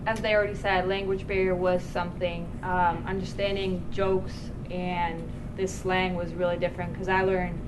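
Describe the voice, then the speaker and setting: Woman, student panelist, low and flat voice, casual filler-heavy talk, room ambience audible. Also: normal speed, few pauses, noisy background. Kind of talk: panel discussion answers.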